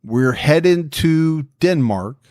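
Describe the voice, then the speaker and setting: A man's voice: on the high side, extremely slow, dry recording. Man, podcast host, casual storytelling, dry booth sound. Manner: relaxed, friendly storytelling. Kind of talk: casual true crime podcast chat.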